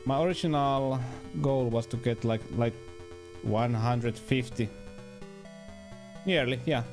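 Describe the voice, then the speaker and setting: A man with a Finnish accent chats casually on a gaming livestream at a slow pace. He halts, searches for words and mutters short reactions, with game music playing behind his mid-pitched voice.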